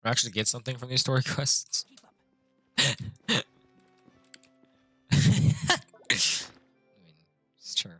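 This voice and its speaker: A man live streaming a game, reacting casually in an excitable, playful way. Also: medium pitch, slow pace, pauses often, no room sound. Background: game music.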